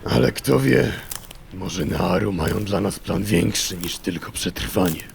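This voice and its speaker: Very gravelly, deep, steady draenei. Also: male voice, a little slow, a little hiss or hum, exaggerated voice. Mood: thoughtful, reassuring. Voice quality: gravelly, low. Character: calm, caring male draenei companion, wise and steady. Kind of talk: fantasy audio drama acting.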